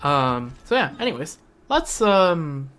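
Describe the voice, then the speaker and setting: A man's voice: fairly high pitch, very animated, takes it slowly, fairly bright tone, clear, resonant tone. A young man giving casual live stream commentary on a game, rambling and thinking aloud. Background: game music.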